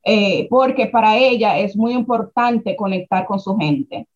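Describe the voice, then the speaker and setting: A young Dominican woman with a Dominican accent, speaking as an interview guest in a lively way, with restarts and rhetorical questions. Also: mid-range voice, a little slow. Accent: Dominican Spanish. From Dominican Republic. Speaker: guest, founder of a writers' platform. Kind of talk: casual interview conversation. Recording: dull lo-fi sound.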